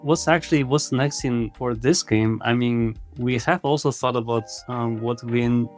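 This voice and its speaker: Male game developer as interview guest, average pitch, relaxed conversational delivery, soft music underneath. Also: measured pace. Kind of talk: casual interview talk.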